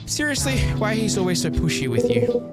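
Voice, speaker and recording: A man acts out a young visual novel character in a voice pushed way up high. The delivery is pushy and questioning, like game dialogue read aloud. Loud music plays behind it. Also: very animated, a little fast, roomy sound. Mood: teasing.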